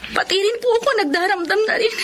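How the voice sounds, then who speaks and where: Very high-voiced Filipino daughter. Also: female voice, fast, slight background noise. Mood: hurt, tearful. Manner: polite, plaintive. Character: respectful, hurt young daughter. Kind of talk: Filipino radio drama acting.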